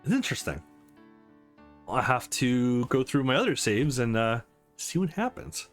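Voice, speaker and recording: Very animated man doing gameplay commentary. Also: medium pitch, unhurried. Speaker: let's play host reading a visual novel. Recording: music under the voice. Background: game music.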